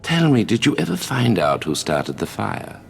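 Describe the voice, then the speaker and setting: A suave English spymaster with a very deep voice and lively, expressive intonation. He is dry, condescending and menacing, a video game villain-mentor heard in long reverb. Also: moderate pace, creaky, full character voice. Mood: coolly menacing. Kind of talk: dramatic video game acting.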